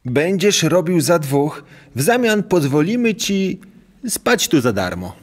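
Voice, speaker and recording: A man's voice: fairly high pitch, sing-song, unhurried. A man playing a crafty, commanding innkeeper in an audio story. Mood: bossy. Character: crafty, bossy male innkeeper.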